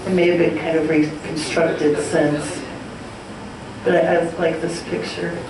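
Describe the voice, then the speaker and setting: A woman presenting old photos to a local group, chatting with many ums and pauses. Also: deep voice, flat delivery. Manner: hesitant, many ums, fond. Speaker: presenter of a community history session. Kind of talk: casual storytelling to a group. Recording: echoey hall, distant mic, noisy.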